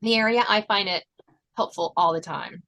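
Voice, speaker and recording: Bright-voiced American woman hosting a podcast. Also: mid-range voice, moderate pace. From southern Virginia, United States. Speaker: podcast host. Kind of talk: casual interview chat.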